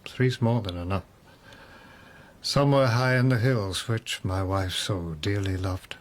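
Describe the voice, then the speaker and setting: A man's voice: lowish voice, a little slow, natural voice. An actor in a radio drama playing a mad, doomed nobleman in a rambling, wry, reflective monologue. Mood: wry, resigned. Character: mad, doomed nobleman musing on his death.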